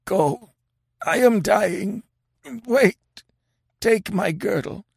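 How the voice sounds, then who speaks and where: A mangled sorcerer at death's door, his voice a high, strained croak that barely sounds human. He raves and gasps out slow, gravelly, husky words, as in an audiobook read by one narrator, close and dry. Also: no room sound, exaggerated voice. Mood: gasping. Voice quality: racking croak, inhuman. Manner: raving, drooling, gasping. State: dying. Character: dying mangled male sorcerer, raving and vengeful. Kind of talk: audiobook character voice.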